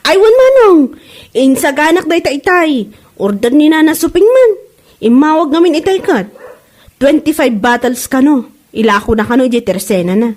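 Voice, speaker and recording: A woman playing a friendly young chili oil seller in a comedy audio drama, with an exclamatory, expressive way of talking, in Ilocano. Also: high-pitched, moderate pace.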